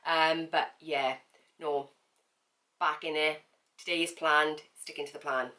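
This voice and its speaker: A woman talking casually to camera in a vlog, with a croaky voice and a chatty, frank manner full of fillers and repeats. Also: low voice, fairly even intonation, unhurried, bright, forceful tone. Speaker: vlogger.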